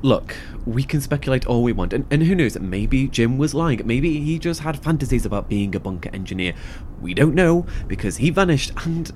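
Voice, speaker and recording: A man's voice: mid-range voice, expressive, normal speed, hardly any pauses, darkish tone, close to an everyday voice. A man playing a weary young man who talks in a hesitant, subdued way. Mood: insistent. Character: weary young man lost between worlds. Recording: noisy recording.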